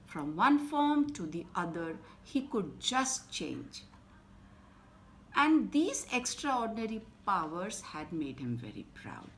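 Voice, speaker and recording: Expressive Indian English woman telling a bedtime story. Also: medium pitch, slow pace, a little hiss or hum. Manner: expressive, dramatic storytelling with whispered asides. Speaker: children's storyteller.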